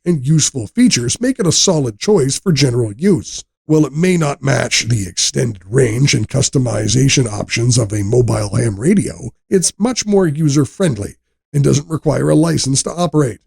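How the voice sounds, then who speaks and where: A man reading a scripted product review narration in a measured, explanatory way. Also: a little high, gravelly, a little mellow, breathy edge.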